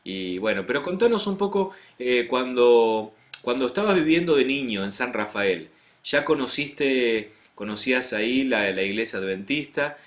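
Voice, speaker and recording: A man's voice: medium pitch, expressive, normal speed. A man with an Argentine accent hosting an interview program as a pastor, greeting viewers in a friendly way and questioning his guest. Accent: Argentine Spanish. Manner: friendly, addresses viewers directly.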